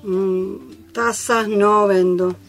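A woman's voice: medium pitch, fairly even intonation, extremely slow, faint music behind. A woman playing an obliging tea shop seller in a comic radio play. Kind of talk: comic radio theatre acting.

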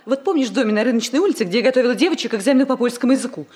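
A woman's voice: fairly high pitch, lively intonation, racing, a little mellow, natural voice. An actress playing a hot-tempered, easily upset young student woman in a 1960s radio comedy, speaking with emphatic indignation. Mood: indignant.

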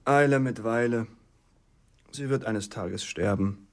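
An actor in a German radio drama playing a defiant, outspoken farm servant who talks in a dry, deliberate, insolent way. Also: male voice, mid-range voice. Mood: knowing, dry.

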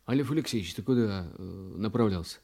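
An actor playing a police investigator in a Russian radio play, polite, measured and quietly ironic as he questions witnesses. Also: on the low side, lively intonation, breathy edge. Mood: quietly questioning.

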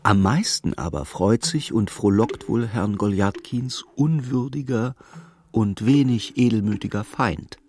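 An actor narrating a literary radio play as a wry storyteller, telling events vividly in the present tense. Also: male voice, lowish voice, unhurried, darkish tone, no echo. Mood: wry, measured. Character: wry, measured male storyteller of a literary tale. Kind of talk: scripted dramatic narration in a German radio play.

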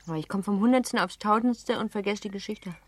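A woman acting a lively, playful, well-born girl of seventeen in a German radio play, chatty and teasing. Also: medium pitch, quick pace, natural voice. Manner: chatty, teasing, self-assured.

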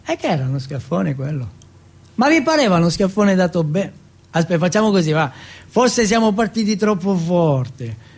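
A man's voice: high voice, big pitch swings, moderate pace, a little mellow, full character voice. A man playing a big young jailhouse bully in a stage monologue, mocking and sadistic, coaxing and ordering with coarse, repeated commands. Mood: goading. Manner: taunting, coaxing, repetitive commands, coarse swearing.

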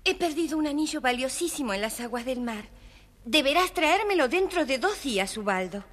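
A woman's voice: sits high, expressive, fairly quick, fairly bright tone. A woman playing a demanding, haughty young princess in a children's fairy tale drama. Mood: imperious.

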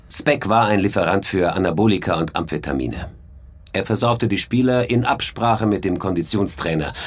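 Low-voiced, cool football club boss. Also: male voice. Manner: controlled, matter-of-fact, self-assured. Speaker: actor in audio crime drama. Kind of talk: German radio crime drama acting.

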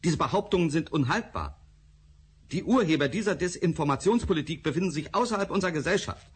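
An actor playing an eminent male professor, speaking in a measured, authoritative way with a faintly menacing edge. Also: a little high, animated, slight background noise, natural voice. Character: eminent older male scientist, proud and guarded. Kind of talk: radio drama acting.

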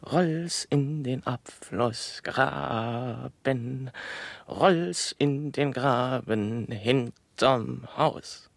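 An actor playing a callous, sardonic man chanting macabre verse in a radio play, with a husky, creaky voice. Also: mid-range voice, drawn-out words, no echo, heavily acted. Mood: macabre, mocking.

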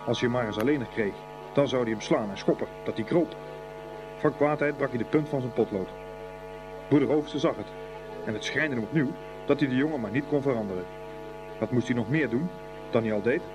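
A man's voice: medium pitch, brisk, pauses often, over music, close to an everyday voice, rough recording. A man narrating a scripted boys' school story for a radio play. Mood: storytelling.

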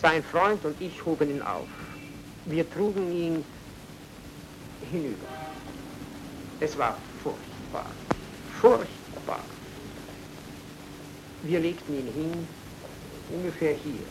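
An actor playing an obliging but shady Viennese baron in a radio drama, with a courteous, theatrical, evasive manner. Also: male voice, on the high side, takes it slowly, pauses often, background noise. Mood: solemn.

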